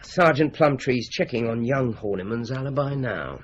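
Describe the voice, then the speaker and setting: An actor playing a calm, courteous police inspector who asks measured, polite questions in a radio drama. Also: male voice, medium pitch, a little hiss or hum, close to an everyday voice. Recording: dull lo-fi audio.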